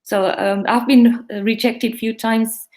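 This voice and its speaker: A woman's voice: a little high, level tone, unhurried, darkish tone. A middle-aged woman from East Africa with a mixed East African and English accent, talking earnestly and reflectively as a guest in a casual interview. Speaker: guest, pharmacy owner.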